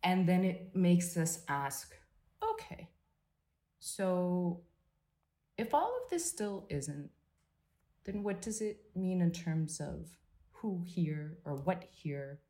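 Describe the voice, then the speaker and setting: A woman psychotherapist talks through her view in a halting, reflective way, full of restarts and fillers. Her voice sits low and sounds mellow. The effect is a thoughtful guest working out her ideas as she speaks. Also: sing-song. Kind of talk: reflective conversational interview.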